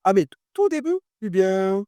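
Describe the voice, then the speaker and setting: A man's voice: high-pitched, sing-song, normal speed, warm tone, no echo. A man in his twenties with a French accent, a guest artist talking casually in an interview and repeating phrases for emphasis.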